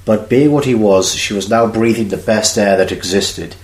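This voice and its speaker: A man's voice: lowish voice, moderate pace, darkish tone, echoey room, rough recording. A man reading scripted story narration for an audio drama. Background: music.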